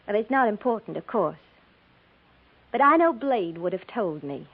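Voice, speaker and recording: An American actress playing a chatty, easily riled young schoolteacher bride with folksy, earnest speech in a 1950s radio drama. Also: female voice, medium pitch, very animated, moderate pace. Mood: defensive. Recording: tinny, narrow-band 1950s radio recording.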